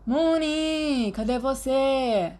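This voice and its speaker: A woman's voice: high-pitched, extremely slow, darkish tone, voice pushed up. A woman with a Brazilian Portuguese accent voicing a playful, dramatic teenage boy with a whiny edge. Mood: teasing. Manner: whiny, theatrical.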